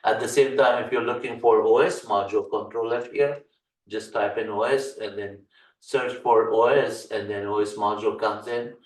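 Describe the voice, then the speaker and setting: Afghan-accented guy teaching linux, lots of uh's, kinda low voice, echoey and set back from the mic. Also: fairly even intonation, recorded from a distance. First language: Farsi. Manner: halting, many "uh" fillers. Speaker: Linux course instructor. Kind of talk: screen-share tutorial walkthrough.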